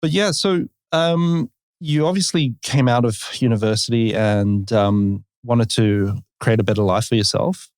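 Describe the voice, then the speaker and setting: A man's voice: mid-range voice, a little slow, a little mellow. A male podcast host speaks in an easy, encouraging way, dropping frequent short affirmations between his guest's answers. His voice is close and dry, with no echo around it. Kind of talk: casual interview chat.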